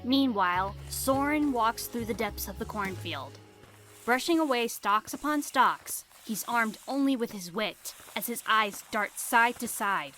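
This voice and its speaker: A woman delivers scripted story narration with theatrical suspense. Her voice is fairly high and bright, with very expressive rises and falls. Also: unhurried, soft background music.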